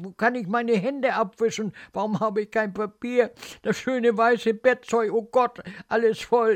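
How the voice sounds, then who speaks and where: A man's voice: on the high side, no room sound. Man acting out a frightened, anxious little boy. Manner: anxious, pleading, short halting phrases. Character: frightened, obedient little boy confined in a hospital bed.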